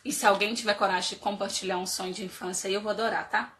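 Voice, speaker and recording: A woman's voice: mid-range voice, fairly even intonation, fast, fairly bright tone, a little hiss or hum. A woman with a cold and a nasal voice, giving an informal motivational talk and asking her audience many rhetorical questions. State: has a cold. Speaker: speaker giving a live talk on life stages.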